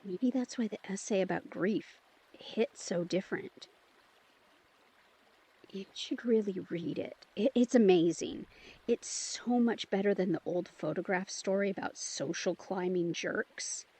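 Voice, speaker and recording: Young female vampire, newly turned, played by a woman: mid-pitched, husky-breathy, curious and caring, for intimate audio drama. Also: a little slow. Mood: curious, playful. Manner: probing, gently teasing.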